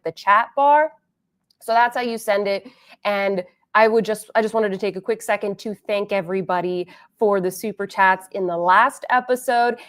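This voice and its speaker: A woman hosting a beauty livestream, chatting casually with viewers and reading their comments aloud, a little congested and sniffly. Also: medium pitch. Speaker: beauty channel host.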